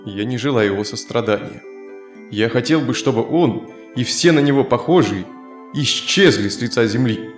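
A man's voice: medium pitch, lively intonation, moderate pace, natural voice. Casting: male actor as stern Roman soldier, scornful and haughty, children's audio drama, echoey sound with music underneath. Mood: scornful, irritated. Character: stern, proud Roman legionary, scornful and resentful. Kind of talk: audio play acting for children. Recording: echoey studio with music behind.

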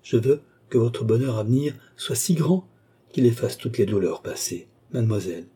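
A man acts out a remorseful young lover from a dramatic novel. The voice is husky and dark, the manner fervent and solemn. Each phrase pleads for pity. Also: mid-range voice, expressive, normal speed. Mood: earnest remorse.

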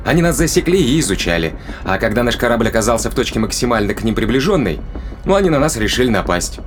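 A man's voice: mid-range voice, big pitch swings, fast, slight background noise, lightly acted. A man playing a sardonic, reasoning spaceship crewman in an audio drama, explaining things in a colloquial way. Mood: wry, explanatory.

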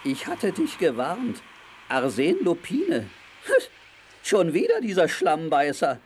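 An actor playing a tough, good-hearted sailor hero in a children's audio drama, boastful, muttering and full of exclamations. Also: male voice, fairly high pitch, huge pitch swings, takes it slowly, husky, full character voice. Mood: feisty.

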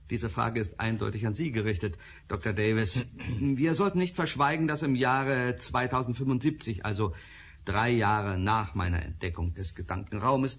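A man's voice: fairly low pitch, slightly rough. An actor playing a formal, emphatic male professor in a radio drama. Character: male professor, discoverer of the thought space.

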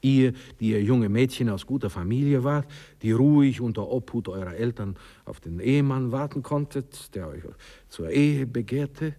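An actor playing a pompous, middle-aged bourgeois Austrian husband who lectures condescendingly and haltingly in a radio play. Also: male voice, medium pitch, lively intonation, creaky, warm tone. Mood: pompous, lecturing. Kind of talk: 1960s German-language radio play acting. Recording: reverberant 1960s radio drama studio recording.

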